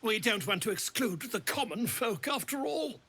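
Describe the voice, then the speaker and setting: A man's voice: sits high, very animated, moderate pace, sharp tone, breathy edge, full character voice. A man voicing a pompous, theatrical bard and scholar in a fantasy game, with florid, verbose delivery. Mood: jovial, grandiose. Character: flamboyant, pompous bard and self-styled scholar, grandly theatrical.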